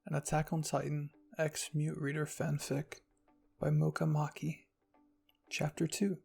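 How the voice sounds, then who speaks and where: A narrator with a husky, creaky voice reading fan fiction in a soft scripted storytelling style. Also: mid-range voice, monotone, unhurried. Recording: music behind the voice.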